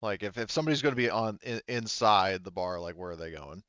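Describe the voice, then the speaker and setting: A man with a low, smooth and resonant voice runs a tabletop roleplaying session as game master. The sound is dry and close, with a slightly muffled top end. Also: lively intonation, fast talker, a bit bright, no echo.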